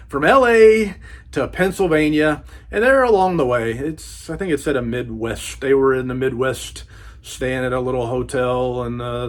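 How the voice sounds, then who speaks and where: A man giving a casual book review as a channel host, enthusiastic, with a laid-back, slangy way of talking. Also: mid-range voice.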